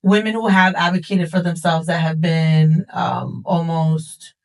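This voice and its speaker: A woman's voice: lowish voice, level tone, a little slow. An American woman hosting a podcast in casual conversation, speaking in an assertive, opinionated way. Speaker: podcast host.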